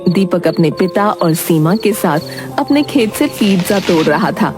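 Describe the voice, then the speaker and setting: A woman's voice: fairly low pitch, lively intonation, unhurried, over music. A woman narrator reading a scripted cartoon story.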